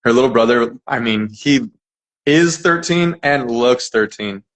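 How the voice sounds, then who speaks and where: A man's voice: medium pitch, unhurried. A young American man from California, an English teacher chatting casually as an interview guest with lots of fillers. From California, United States. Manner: relaxed, chatty, many fillers and restarts.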